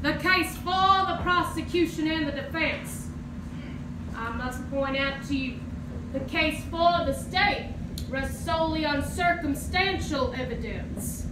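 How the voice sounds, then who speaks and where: A woman's voice: high voice, background noise. A woman on stage playing a stern, commanding trial judge, formal and declamatory. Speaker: actor in a stage play. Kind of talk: stage adaptation of a 1950s radio drama. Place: live theatre stage. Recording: echoey theatre, distant mic, music behind.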